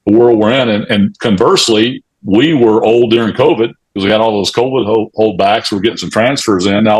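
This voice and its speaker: Low, husky man talking sports. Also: poor audio. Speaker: sports radio show panelist. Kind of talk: unscripted sports talk and analysis.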